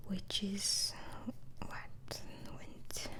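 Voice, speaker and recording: A woman whispering in an ASMR roleplay as a doctor interviewing a patient, hesitantly asking questions and repeating answers back in a breathy voice. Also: drawn-out words, bright, forceful tone. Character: gentle, inquisitive female mental asylum doctor.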